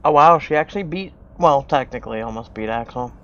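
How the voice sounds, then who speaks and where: A man's voice: on the high side, lively intonation, brisk, muffled recording, background noise. A man giving casual reaction commentary on game cutscenes, thinking aloud as he works out the story.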